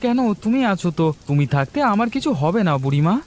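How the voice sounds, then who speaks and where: A man's voice: high voice, very animated, fast talker. A man voicing a poor, humble young milk boy in a cartoon story, earnest and pleading in manner. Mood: tender, earnest. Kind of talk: Bengali cartoon story voice acting.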